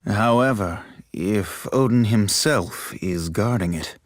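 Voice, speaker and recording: A man's voice: fairly low pitch, takes it slowly, dry recording, studio quality. An actor voicing an earnest, dignified man in a fantasy game, with formal, persuasive speech.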